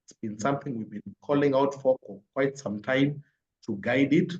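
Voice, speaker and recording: A man's voice: medium pitch, moderate pace, darkish tone. A man with a Kenyan accent giving explanatory spoken analysis as a panelist, building his points step by step. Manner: explanatory, builds points in numbered lists and repetition. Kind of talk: spoken analysis at a virtual forum. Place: indoors, online call. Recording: roomy echo, dull lo-fi online call.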